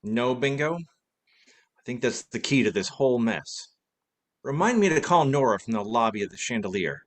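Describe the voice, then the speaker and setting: An actor playing a quick-witted, take-charge private detective in a radio play. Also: male voice, mid-range voice, lively intonation, natural voice. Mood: brisk, decisive.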